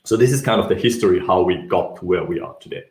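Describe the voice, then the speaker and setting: A man's voice: sits low, fast, a little mellow. A young man from Austria with an Austrian accent, giving a live technical talk with frequent ums and self-corrections. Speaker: tech speaker. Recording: echoey room.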